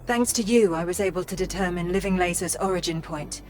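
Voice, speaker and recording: A woman playing a loyal AI assistant in a video game, polite, measured and dryly witty. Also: lowish voice, darkish tone, natural voice. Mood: measured, informative. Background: music.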